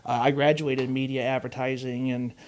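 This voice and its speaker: A man's voice: mid-range voice, little pitch movement, a little fast. Rambling Chicago-area man in his thirties. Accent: Chicago-area Midwestern. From Chicago area, Illinois. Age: 30s. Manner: rambling, storytelling, many "uh" and "like" fillers. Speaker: podcast host. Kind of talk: casual podcast chat.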